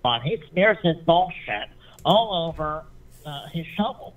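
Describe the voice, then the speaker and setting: A man calling in to a show, speaking in a nasal voice with a halting delivery. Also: on the high side, very animated, fairly bright tone, far from the mic. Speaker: caller. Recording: tinny phone line.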